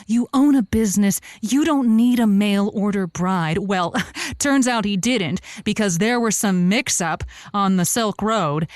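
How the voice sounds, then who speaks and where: A woman's voice: medium pitch, dry recording, lightly acted. Actress as a theatrical, flirtatious woman raconteur, dry studio. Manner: theatrical, suggestive, self-dramatizing. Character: flamboyant, flirtatious woman advice host spinning tall romantic tales. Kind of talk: comedic audio drama storytelling.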